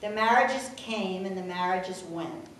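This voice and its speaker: Older lady reading a rhyming family poem out loud, takes her time, really echoey, bit of background hiss. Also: mid-range voice, measured pace. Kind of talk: reading a rhyming tribute poem aloud, with informal asides. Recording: echoey room, distant mic, faint noise.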